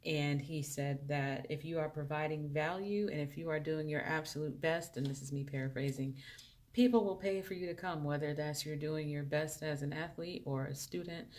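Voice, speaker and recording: A woman's voice: sits low, moderate pace, darkish tone. A woman life coach hosting a casual video interview, chatty and upbeat.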